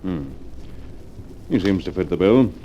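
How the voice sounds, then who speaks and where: An actor playing a domineering, scheming wealthy fugitive in middle age, brusque and commanding, in 1940s radio drama style. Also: male voice, low-pitched, expressive, unhurried, dark tone, a little hiss or hum. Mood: businesslike, appraising. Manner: brusque, commanding, self-assured. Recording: dull, lo-fi 1940s radio recording.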